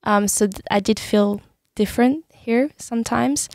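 A woman's voice: fairly high pitch, a little slow, a little mellow, no room sound, studio quality. A young woman from Switzerland with a Swiss French accent, chatting as a guest on a podcast, laughing often and trailing off with "yeah". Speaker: guest singer-songwriter. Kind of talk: casual podcast chat.

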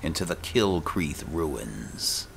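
Super deep gravelly guy muttering through a video game playthrough, noisy background. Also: lively intonation, slow. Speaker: gaming channel host. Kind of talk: casual let's-play commentary.

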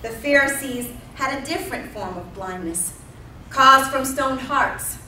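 Bright-voiced woman preaching in a roomy church. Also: high-pitched, unhurried, recorded from a distance, slight background noise. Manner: expressive storytelling with emphatic repetition. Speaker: pastor. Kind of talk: sermon. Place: church sanctuary. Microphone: pulpit mic at a distance. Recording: roomy church sound from off-mic.

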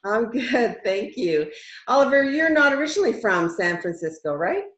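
A woman in her fifties hosts an interview over a video call, upbeat and quick to laugh. Her voice sits somewhat high, and the room sounds echoey through dull call audio. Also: big pitch swings, fairly bright tone. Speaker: host. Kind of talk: casual interview. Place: home room.

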